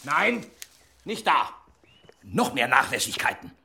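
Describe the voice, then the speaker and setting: Irritable blacksmith, bright animated voice. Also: male voice, mid-range voice, takes it slowly, gravelly, slight background noise, exaggerated voice. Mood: indignant. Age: middle-aged. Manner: grumbling, indignant. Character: gruff middle-aged village blacksmith and father, irritable, stern. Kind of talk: radio play acting.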